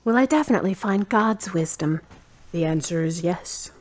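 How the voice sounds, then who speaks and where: A woman's voice: on the low side, animated, normal speed. A woman playing a kind, skeptical, teasing friend in an amateur audio drama, candid and wry.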